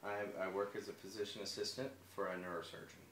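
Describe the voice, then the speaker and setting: A man in his forties with a very low voice answers interview questions hesitantly, often pausing and restarting. The recording sounds echoey, with a faint hum of room noise behind him. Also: fairly even intonation. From United States. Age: 40s. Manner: hesitant, soft-spoken, many fillers and restarts. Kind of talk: personal interview.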